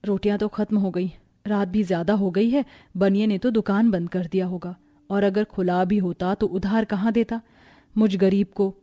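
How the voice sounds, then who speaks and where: A woman voicing a poor, patient young married woman in a cartoon family drama, with a weary, gently pleading manner. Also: medium pitch, steady tone, normal speed, natural voice. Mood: worried. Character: poor, patient, self-sacrificing young married sister-in-law.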